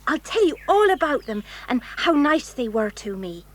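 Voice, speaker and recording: An excitable traveller girl in a children's audio drama. The voice is high and swings widely with eager exclamations. There is faint noise on the recording. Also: moderate pace. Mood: puzzled, indignant.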